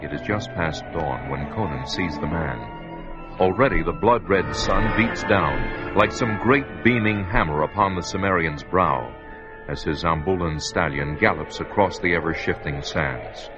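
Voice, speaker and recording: A man's voice: low-pitched, a little slow, lo-fi sound. A man narrating an old radio drama in a grave, dramatic manner. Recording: old radio recording with music.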